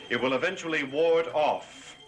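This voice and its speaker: An actor playing a grave, insistent husband in a gothic television soap, with a solemn, pressing delivery. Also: male voice, a little high, normal speed, faint music behind, natural voice, low-quality recording. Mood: stern, pressing. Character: grave, insistent husband probing a supernatural mystery.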